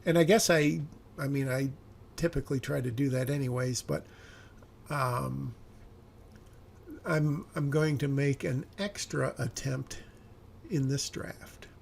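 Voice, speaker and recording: Man, screenwriter working aloud; a bit raspy and fry-heavy, halting, searching for words. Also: medium pitch, a little hiss or hum. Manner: musing, hesitant, self-correcting. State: slightly raspy voice. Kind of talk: thinking aloud while reviewing a script.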